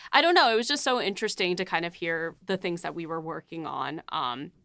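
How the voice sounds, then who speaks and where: Hesitant woman with a bright voice. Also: fairly low pitch, fairly quick, gravelly, no echo, clean studio sound. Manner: hesitant, many "um"s, light laughs. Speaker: writer of the series. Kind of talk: casual behind-the-scenes chat.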